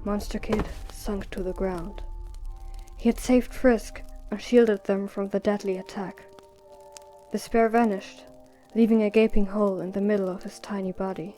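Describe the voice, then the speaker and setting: A woman's voice: mid-range voice, fairly even intonation, moderate pace, dark tone, faint music behind. A woman reading scripted story narration for an audio drama.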